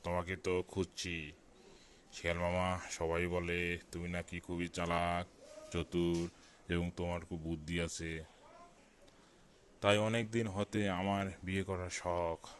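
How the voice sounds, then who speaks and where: A man acts out a tiger for a children's cartoon, a jungle king set on finding a bride. His voice is deep and very flat, with an earnest plea in it. The recording is noisy. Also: slow, long pauses. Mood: flattering, hopeful. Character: male tiger, jungle king eager to get married.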